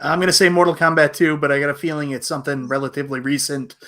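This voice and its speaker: A man on a live-stream panel, making brisk, sardonic remarks. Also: fairly high pitch, level tone. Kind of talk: casual live-stream chat. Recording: loud background noise.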